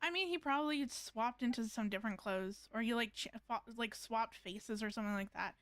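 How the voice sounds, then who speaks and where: A woman's voice: a little high, fairly quick, a bit bright, clean tone, no echo. A woman giving casual live gameplay commentary, musing and thinking aloud in a hesitant way. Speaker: game streamer.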